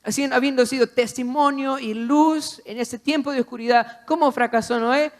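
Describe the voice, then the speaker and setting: A man's voice: very high voice, fairly even intonation, normal speed. A man preaching a sermon, exhorting the listener with repeated phrases and rhetorical questions.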